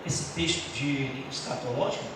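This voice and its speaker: A man's voice: medium pitch, distant mic. Man, middle-aged, pastor, earnest church teaching talk, heavy hall echo, noisy background. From Brazil. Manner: earnest personal testimony, halting restarts.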